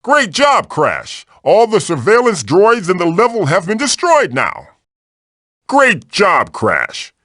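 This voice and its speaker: A man's voice: high-pitched, wildly swooping pitch, takes it slowly, fairly bright tone, no room sound, studio quality, heavily acted. A man voicing an old magical mask, a bossy, wisecracking mentor guiding the player in a video game with theatrical, wry delivery. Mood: excited.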